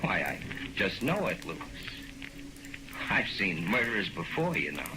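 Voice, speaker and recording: An old, noisy 1940s radio drama recording. a weary male lawyer with a lowish voice, blunt and measured in his delivery. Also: big pitch swings.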